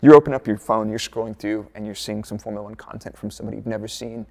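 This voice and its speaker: Gravelly laid-back American man. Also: lowish voice, fairly even intonation, quick pace, dark tone. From United States, Dallas. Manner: laid-back, chatty, lots of fillers and jokey asides. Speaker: F1 content creator, former performance engineer. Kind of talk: casual interview answers.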